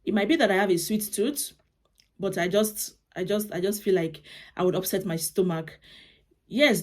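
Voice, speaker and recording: A woman's voice: medium pitch, darkish tone. Chatty Nigerian woman giving advice. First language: Yoruba. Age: young adult. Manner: chatty, repeats and restarts phrases. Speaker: vlogger. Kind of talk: casual advice vlog.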